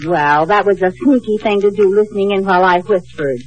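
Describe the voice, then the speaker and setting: An American actress playing a flustered, vain housewife in an old radio sitcom, with coy, indignant comic timing. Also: female voice, mid-range voice, fairly quick, muffled recording, noisy background, close to an everyday voice. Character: flustered, vain, scheming young-middle-aged housewife. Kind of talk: 1940s-50s radio sitcom acting.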